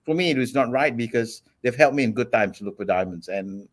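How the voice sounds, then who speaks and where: A man in his forties from Singapore, a guest on an interview show, telling his story in a relaxed, steady way with many ums. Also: mid-range voice, brisk. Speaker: guest entrepreneur.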